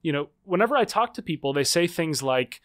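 A man's voice: fairly high pitch, expressive, brisk, fairly bright tone, dry recording, studio quality. A man, an author and political scientist, answering interview questions in an articulate, earnest way with frequent fillers. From United States.

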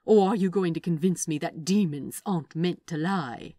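A woman's voice: lowish voice, normal speed, dark tone, no room sound, studio quality, close to an everyday voice. A woman voicing a prim, fond but indignant male angel in a fan fiction reading, precise and emphatic.

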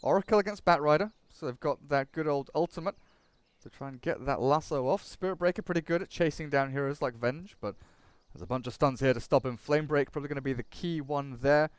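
A man's voice: fairly high pitch, brisk, smooth, dry recording. A young man from England commentating a game draft in a chatty way, often repeating words. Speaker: esports commentator.

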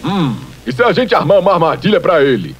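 Man voicing a high-voiced bookish cartoon critter. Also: sing-song, brisk, breathy edge. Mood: thoughtful. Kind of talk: animated cartoon dub acting. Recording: loud music behind the voice. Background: music.

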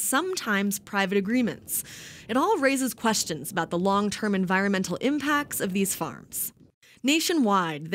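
A woman reporter giving a scripted narration for a news feature in a measured, even way. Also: medium pitch.

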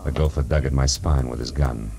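A deep-voiced private eye from a 1940s radio noir drama. He is clipped and deadpan, rattling along briskly with wry insolence. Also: background noise. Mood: terse, wry. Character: hard-boiled male private detective, wry and insolent. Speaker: lead actor and narrator.

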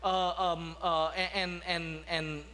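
A man's voice: fairly high pitch, little pitch movement, slow, bright, forceful tone. A man, a pastor preaching a sermon in a church sanctuary, talking conversationally with many restarts and asides. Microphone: podium mic, walking about the platform.

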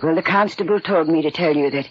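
A woman's voice: sits low, fast, close to an everyday voice. An actress playing a nervous, folksy, hesitant neighbour woman in a 1940s radio drama. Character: nervous neighbour woman, chatty and easily frightened. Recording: dull old radio recording, faint noise.

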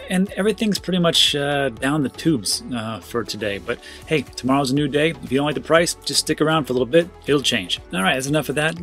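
A man's voice: on the high side, fairly bright tone. Upbeat chatty man hosting a crypto show. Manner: upbeat, chatty, repeats himself. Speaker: crypto news channel host. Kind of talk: casual market commentary.

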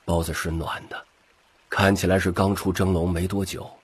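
一名男性有声书播讲者，以戏剧化的方式照稿讲述故事。. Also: male voice, sits low, level tone, normal speed, husky, dry recording. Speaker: audiobook narrator. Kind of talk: scripted dramatic story narration.

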